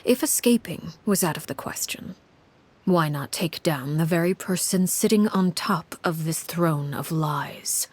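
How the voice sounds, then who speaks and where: A woman voice actor playing a composed, cold, commanding woman who narrates a grim past in a game, in a measured, grave, articulate manner. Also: sits low. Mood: grave, restrained. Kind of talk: scripted video game story narration.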